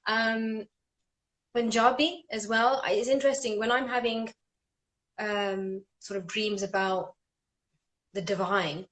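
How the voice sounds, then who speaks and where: A woman's voice: medium pitch, fairly even intonation, takes it slowly, long pauses, a bit bright. A woman hosting an interview, talking enthusiastically and often restarting her sentences. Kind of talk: casual conversational interview.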